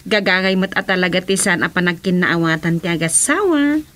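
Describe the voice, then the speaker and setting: An actress with an Ilocano Filipino accent playing a nosy, exclaiming young village wife in a radio comedy drama. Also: female voice, on the low side, a little fast, fairly bright tone, natural voice. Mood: teasing, curious. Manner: exclamatory, reactive.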